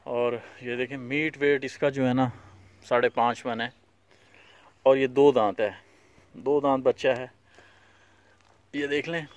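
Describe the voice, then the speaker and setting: A man's voice: mid-range voice, fairly even intonation, unhurried, long pauses. Man touring his cattle farm. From Pakistan. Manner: plain, repetitive pointing out of each animal's features. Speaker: cattle farm owner showing animals for sale. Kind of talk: casual walkthrough vlog. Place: outdoor dusty farmyard.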